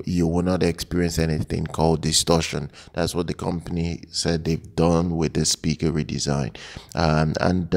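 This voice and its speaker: Low, flat, creaky-voiced man commenting. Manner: hesitant, halting, repeats phrases. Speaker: product news reviewer. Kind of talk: unscripted product news commentary.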